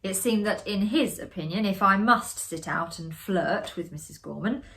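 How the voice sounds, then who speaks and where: A woman giving a dramatic, expressive reading of a classic ghost story as a storyteller. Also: mid-range voice, moderate pace. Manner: expressive, acting out the dialogue. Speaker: storyteller, amateur theatre performer.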